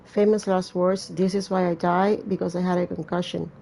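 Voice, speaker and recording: A woman's voice: on the low side, little pitch movement, normal speed, creaky, mellow, a little hiss or hum. A woman reading a visual novel aloud as a gamer, with casual, irreverent and sweary asides. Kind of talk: let's-play reading with casual commentary.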